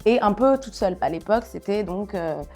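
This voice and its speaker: A woman from Niger speaking as a journalist in a conversational interview, telling personal stories with halting self-corrections. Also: medium pitch, animated, darkish tone, faint music behind.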